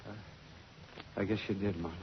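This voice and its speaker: A man's voice: low voice, fairly even intonation, a little fast. A man acting a nervous, hesitant fellow eager to please, in a radio detective drama. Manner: hesitant, stammering, folksy. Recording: dull, quite noisy old radio recording.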